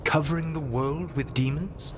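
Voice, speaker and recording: An actor playing a smug, erudite ancient elven god in a fantasy game, with measured, sardonic, formal delivery. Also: male voice, a little high, fairly even intonation, background noise, natural voice. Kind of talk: fantasy video game dialogue.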